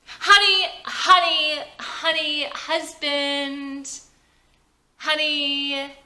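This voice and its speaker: A woman's voice: high-pitched, level tone, extremely slow, pushed and bright, reverberant, voice pushed up, heavily acted. A woman voicing a tipsy, clingy married woman with a playful, teasing manner in a script reading. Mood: cloying, tipsy.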